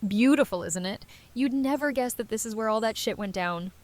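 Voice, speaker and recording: A woman's voice: fairly high pitch, a little fast, close to an everyday voice. A woman playing a wry, blunt survivor and travelling companion in an audio drama. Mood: matter-of-fact. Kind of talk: fan audio drama acting.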